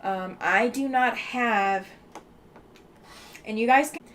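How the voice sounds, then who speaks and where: A woman's voice: a little high, slow, fairly bright tone, slight background noise. A woman from the Southeastern US with a faint Southeastern accent, hosting a casual livestream and chatting back to viewer comments. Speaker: livestream host.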